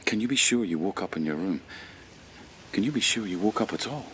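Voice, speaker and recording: An actor playing a steady court lieutenant in an audio drama, questioning in a measured, insistent way. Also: male voice, on the low side, slight background noise. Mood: probing, insistent. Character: steady, probing male lieutenant of the imperial court. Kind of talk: low-key audio drama acting.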